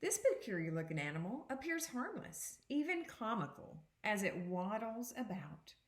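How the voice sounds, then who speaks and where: A woman teacher giving an interactive read-aloud for young children, reading deliberately and pausing to put questions to her listeners. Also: fairly high pitch, very animated, reverberant. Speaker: second grade teacher.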